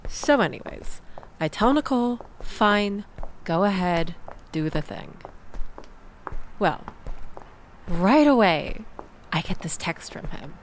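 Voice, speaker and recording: Actress doing a young woman venting in an audio roleplay, unhurried but super expressive, rambly and self-deprecating, bit of background noise. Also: medium pitch, poor audio. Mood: incredulous, animated. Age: young adult. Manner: rambling, self-deprecating, laughs often, animated storytelling. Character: chatty, exasperated young woman recounting bad date. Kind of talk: audio roleplay, naturalistic casual chat.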